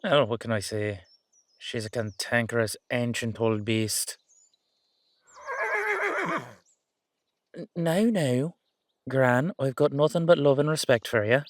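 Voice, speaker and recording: A man's voice: medium pitch, unhurried, no room sound, lightly acted. Man acting playful Irish Dullahan boyfriend, close dry sound. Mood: warm, fond. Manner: rambling, hesitant, teasing. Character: playful, affectionate young-looking male Dullahan boyfriend. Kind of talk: boyfriend roleplay audio, conversational acting.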